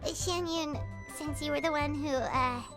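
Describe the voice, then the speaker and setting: A woman voicing a tiny, excitable, chatty companion sprite in a video game, expressive and easily flustered. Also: high-pitched, moderate pace, lightly acted. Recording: music under the voice.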